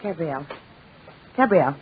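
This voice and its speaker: A woman's voice: low-pitched, expressive, takes it slowly, slightly rough, exaggerated voice. An actress playing an anxious young woman, questioning and pleading in 1940s radio horror style. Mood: suspicious, anxious. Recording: muffled scratchy 1940s radio recording.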